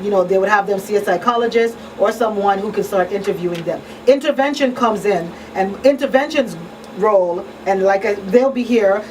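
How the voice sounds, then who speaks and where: A woman hosting a radio talk show, explaining things in a conversational way like a counselor. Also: medium pitch. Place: small radio studio. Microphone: studio broadcast mic on boom arm. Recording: distant pickup, steady room noise.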